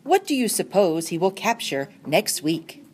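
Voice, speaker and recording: A woman's voice: fairly low pitch, very animated, a little slow, a little mellow, husky. A woman on staff reading a rhyming storybook aloud.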